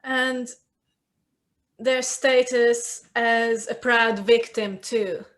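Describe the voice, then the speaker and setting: A woman speaks as a guest in a casual conversation, her voice sitting somewhat high. She is articulate and emphatic and works through her points aloud. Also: fairly even intonation, extremely slow, a bit bright. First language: Hungarian.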